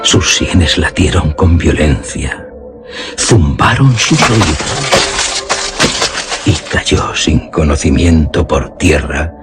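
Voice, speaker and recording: A man's voice: rumbling low, expressive, slow pace. A man narrating a literary radio drama in a solemn, theatrical, emphatic manner. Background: music.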